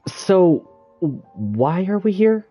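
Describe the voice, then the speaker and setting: An actor playing a calm, skeptical young traveler in an anime game, understated and wry. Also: mid-range voice, drawn-out words, breathy edge, heavily acted. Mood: puzzled. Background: music.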